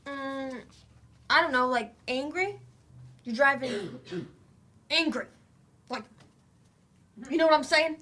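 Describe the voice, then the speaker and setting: A woman's voice: sits high, animated, slow, long pauses, bright, forceful tone, full character voice. A woman acting in a staged play reading as an eager, blunt adult student with a casual, slangy, questioning way of talking. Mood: puzzled.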